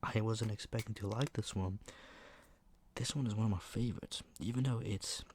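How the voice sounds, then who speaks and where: A man speaking in a hushed murmur that slips into whispering, with a sore throat, casually showing items for ASMR. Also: lowish voice, normal speed, slight background noise. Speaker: ASMR video creator. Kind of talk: ASMR, soft casual product show-and-tell.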